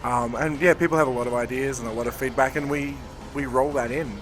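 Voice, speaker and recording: A man, a game developer, hoarse from talking all day, answering interview questions in a rambling, thoughtful way. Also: medium pitch, fairly even intonation, fast talker, over music. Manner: rambling, self-correcting, thoughtful. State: hoarse, losing his voice. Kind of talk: convention floor interview. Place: busy convention floor.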